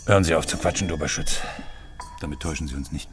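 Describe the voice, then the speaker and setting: An actor playing an imposing secret-police boss in a radio drama, speaking with measured, persuasive authority. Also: male voice, very deep voice. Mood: curt, commanding. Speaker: senior secret police officer.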